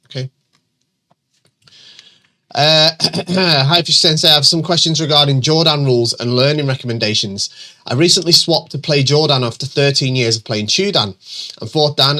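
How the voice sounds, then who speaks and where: Middle-aged male host with a bunged-up, stuffy voice from a cold; bright, forward tone, casual and rambling. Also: medium pitch, normal speed. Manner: chatty, many ums and restarts, reads questions aloud. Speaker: host answering viewer questions. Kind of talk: casual chat.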